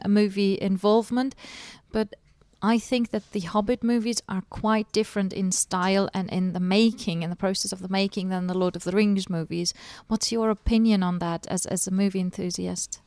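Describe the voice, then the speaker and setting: A woman's voice: mid-range voice, a little mellow, no room sound. A woman interviewing casually on a podcast, phrasing her questions tentatively and with hesitation.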